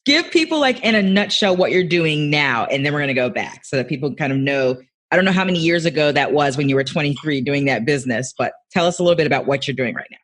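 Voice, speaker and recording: A man's voice: fairly high pitch, lively intonation, fast, a bit bright. A man hosting a podcast interview, upbeat and encouraging, laughing often as he asks questions. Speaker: podcast host.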